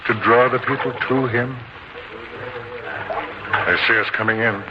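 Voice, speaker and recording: A man's voice: mid-range voice, fairly even intonation, unhurried, close to an everyday voice. A man playing a devoted, protective disciple in an old-time radio drama, speaking earnestly and declaiming his lines. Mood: grave, resolute. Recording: muffled, very noisy old radio recording.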